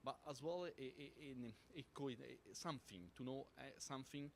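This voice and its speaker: A man with an Italian accent speaks as a panelist at a conference, his English halting as he hunts for words and leans on fillers. His voice sits at an ordinary pitch, with a faint hiss under the room. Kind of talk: panel discussion answer.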